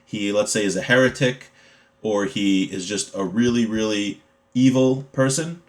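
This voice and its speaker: Low-voiced man teaching an informal religion class. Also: unhurried. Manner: conversational, explains with everyday examples. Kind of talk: informal Torah class, reading a text and explaining it.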